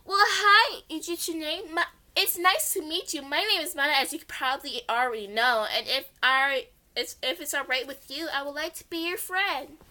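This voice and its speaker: A bubbly young apprentice girl from a story, acted by a woman, high and bright. She is chirpy, eager and full of friendly mischief. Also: normal speed, few pauses. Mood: cheerful, friendly.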